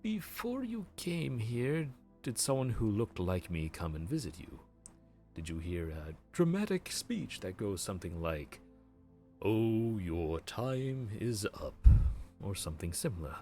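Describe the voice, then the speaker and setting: A weary grim reaper clerk with a very deep voice that rises and falls widely as he talks, played by a man. He speaks slowly, like a sarcastic, put-upon bureaucrat, with faint music behind him. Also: very animated, warm tone. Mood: puzzled. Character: weary male grim reaper running the underworld queue. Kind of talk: audio roleplay.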